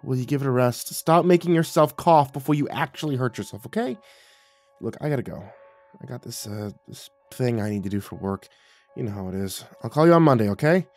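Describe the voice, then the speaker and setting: Man voicing exasperated adult son, defensive, evasive, sarcastic, widely swinging pitch, audio story with music. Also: expressive, fairly quick, a little mellow, no room sound, lightly acted. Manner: defensive, stammering when pressed.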